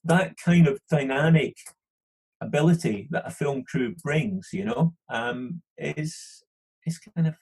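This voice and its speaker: A middle-aged man with a Scottish accent talks in a gravelly, husky voice. He rambles in casual conversation, stacking "you know" and restarting his sentences as he goes. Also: on the high side, takes it slowly, a little mellow, rough recording. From London. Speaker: film studio manager, podcast guest. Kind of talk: casual podcast interview.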